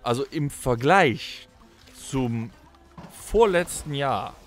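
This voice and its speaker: A man's voice: medium pitch, lively intonation, slow, faint music behind. A man in his forties with a plain mid-range voice, cracking dry, sarcastic jokes in a comedic podcast audio play. He talks into a studio mic in a small home studio. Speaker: podcast co-host.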